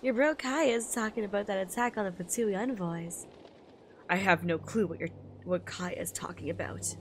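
A woman's voice: mid-range voice, normal speed, slight background noise, lightly acted. Woman reading as a sassy, teasing young male knight. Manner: teasing, nonchalant.

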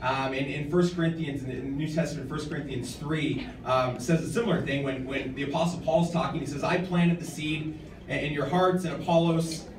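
An American man in his thirties giving an informal, halting acceptance speech at a podium, often repeating words as he goes. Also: on the high side, fairly even intonation. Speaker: award recipient and nonprofit director giving an acceptance speech. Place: hotel function room, indoors at a podium. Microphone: podium microphone, captured by a camera in the audience. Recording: distant, echoey room with clear background noise.

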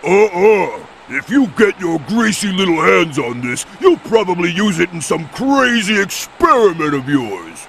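A burly bird brute blusters in a high, gravelly voice. He is loud and bumbling, his pitch bouncing all over. A faint hiss sits behind him. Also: sing-song, measured pace, hardly any pauses, heavily acted, poor audio. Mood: blustering. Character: burly male albatross bird, blustering muscle of a gang.

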